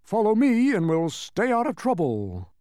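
Man putting on a high, fretful talking bug. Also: wildly swooping pitch, unhurried, dark tone, no room sound, studio quality, exaggerated voice. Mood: self-important. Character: humbug, fretful, blustering cowardly talking bug. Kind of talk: storybook character voice.

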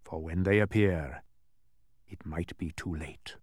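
A pompous, touchy wizard of numbers from a storybook, acted out by a man. His delivery is emphatic and declamatory, with a very animated melody. The sound is close and dry. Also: medium pitch, moderate pace, clean tone, no room sound, close to an everyday voice. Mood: grave, ominous. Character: pompous, touchy male mathematician wizard, thundering and stubborn.

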